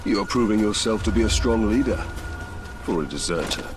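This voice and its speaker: An actor playing a grim, single-minded human ranger in a fantasy game, speaking in a terse, solemn, stern manner. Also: male voice, lowish voice, expressive, brisk, close to an everyday voice. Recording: music behind the voice. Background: game music.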